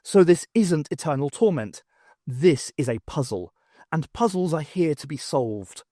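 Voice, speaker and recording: A man voicing a quick-witted woman archaeology professor, wry and commanding, in an audiobook. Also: a little high, expressive, warm tone, no room sound, studio quality. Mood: wry, persuasive.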